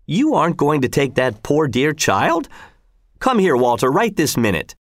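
A man's voice: a little high, very animated, moderate pace, dry recording, clean studio sound, voice pushed up. A man reading a story voices a worried wife and mother who scolds and frets insistently, in a raised voice. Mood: anxious. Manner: nagging, fretful, insistent. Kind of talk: audiobook character reading.

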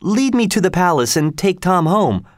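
A man's voice: sits high, big pitch swings, normal speed, dry recording, clean studio sound, voice pushed up. Man voicing a haughty young prince. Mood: indignant. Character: proud young prince, commanding and indignant.

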